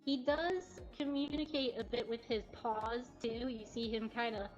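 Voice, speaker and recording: A woman with a highish voice presents casually on a livestream, taking her time as she chats. She sounds giggly and informal. The audio is a little dull, with music playing softly behind her. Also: fairly even intonation, unhurried. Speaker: cat cafe host presenting adoptable cats. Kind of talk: casual unscripted livestream narration. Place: cat cafe. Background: faint music.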